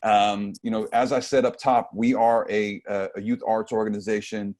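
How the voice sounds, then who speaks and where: A man in his forties leading a youth writing workshop over a video call, talking in a relaxed way with lots of fillers. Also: on the low side, moderate pace. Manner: relaxed and conversational, frequent fillers. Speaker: workshop leader. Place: home room with bookshelves. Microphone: earphone mic on a video call.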